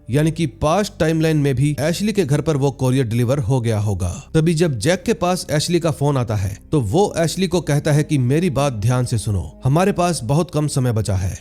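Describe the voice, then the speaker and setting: A man's voice: on the high side, dark tone. A man narrating a scripted movie recap in a storytelling style, with music behind him. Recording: music bed under voice. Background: background music.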